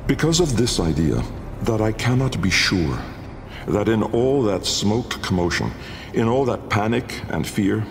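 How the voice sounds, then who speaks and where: An actor playing an old, haunted, learned nobleman-scientist in a video game, delivering a grave, measured gothic monologue with a gravelly voice. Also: on the low side, heavily acted. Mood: haunted doubt. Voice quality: gravelly, creaky. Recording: long cavernous reverb under music.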